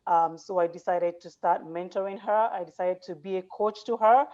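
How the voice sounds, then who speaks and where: A woman's voice: lowish voice, normal speed, darkish tone, dull audio. A woman guest and founder telling her story earnestly, with many filler words. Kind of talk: personal story in an interview.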